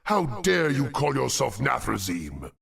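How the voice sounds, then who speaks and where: A man's voice: medium pitch, wildly swooping pitch, unhurried, gravelly, background noise, exaggerated voice. A man playing a wrathful, betrayed demon lord in a video game, threatening and theatrical. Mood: furious, scornful. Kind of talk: dramatic video game acting.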